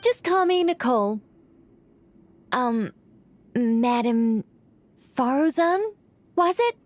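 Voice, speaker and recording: A woman's voice: sits high, expressive, slow pace, no echo. An actress voicing a genteel, coy young lady artist in a video game, whimsical and politely airy in her phrasing. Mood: delighted.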